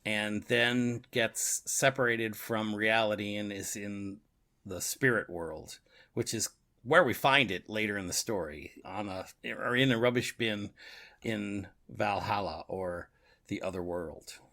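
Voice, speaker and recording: A man's voice: fairly low pitch, unhurried, sharp tone. A man co-hosting a podcast, casually discussing a book and its radio adaptation, often chuckling as he talks. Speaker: podcast co-host.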